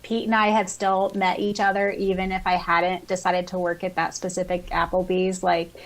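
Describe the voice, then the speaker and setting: A woman's voice: fairly low pitch, little pitch movement, moderate pace, poor audio. A woman co-hosting a podcast, telling personal anecdotes in an informal, conversational way. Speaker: podcast co-host. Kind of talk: casual chat, personal anecdotes.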